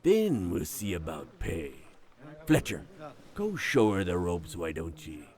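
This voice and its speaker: A man playing a gruff, superstitious older sea captain with a gravelly voice and commanding, salty sailor speech in a nautical audio drama. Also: sits low, wildly swooping pitch, slow, a little mellow, heavily acted. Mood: grudging, brusque. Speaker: ship captain.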